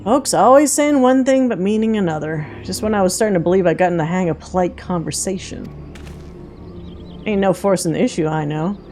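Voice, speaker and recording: A woman plays a folksy video game huntress, frank and protective, talking in homespun turns of phrase. The voice is mellow and slightly dark, with faint music behind. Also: on the low side, brisk, close to an everyday voice. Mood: steady, protective. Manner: folksy drawling diction, dropped grammar. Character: plainspoken, folksy female hunter, protective and suspicious.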